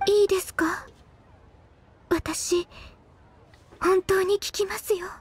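An earnest young woman, an anxious secretary in a video game. Her voice is very high and soft, slow and formally courteous. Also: fairly even intonation, slight background noise. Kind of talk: Japanese video game voice acting.

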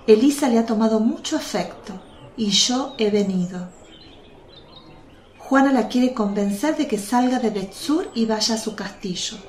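A woman playing a tender, serene, consoling holy mother in a religious radio drama, gentle and earnest. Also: fairly high pitch, measured pace, echoey room, close to an everyday voice. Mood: tender, reporting.

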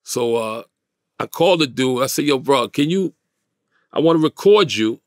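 A man in his fifties with a gravelly voice and a New York accent, telling a story in slangy, emphatic, streetwise interview talk. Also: mid-range voice, animated, moderate pace, dry recording, clean studio sound. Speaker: interview guest. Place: small indoor recording studio, dry sound.

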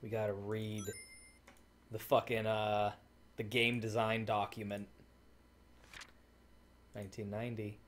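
A man's voice: fairly low pitch, level tone, measured pace, pauses often, a little hiss or hum. A man in his thirties giving casual, joking, sweary commentary while playing a game. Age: 30s. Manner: crude, swearing. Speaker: gaming video host.

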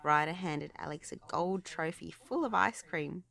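Children's book narrator: female, lower register, some vocal fry, expressive read-aloud delivery. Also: unhurried.

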